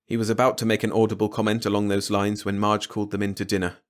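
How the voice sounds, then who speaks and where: A man's voice: fairly low pitch, little pitch movement, fast. A man reading a short story aloud as a scripted audiobook narration. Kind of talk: scripted story narration, bedtime read-along.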